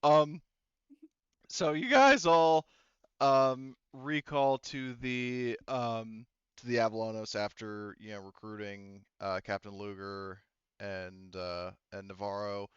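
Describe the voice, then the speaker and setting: A man's voice: fairly low pitch, takes it slowly, smooth, no echo, highs cut off. A man running an online tabletop roleplaying game as game master, chatting casually and swearing now and then.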